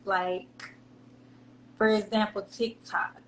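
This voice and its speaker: A woman's voice: mid-range voice, little pitch movement, slow, fairly bright tone. A woman with a Southern American accent, chatting candidly as an interview guest and laughing often. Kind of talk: casual interview chat. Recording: echoey room with faint hum.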